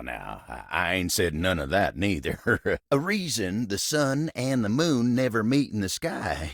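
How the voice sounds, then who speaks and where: An actor playing a lonesome, bashful cowboy table in a video game, with a gravelly Western American drawl and a gruff but kind, folksy, halting manner. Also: male voice, on the low side, no echo, clean studio sound, full character voice. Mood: guarded, folksy. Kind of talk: video game character dialogue.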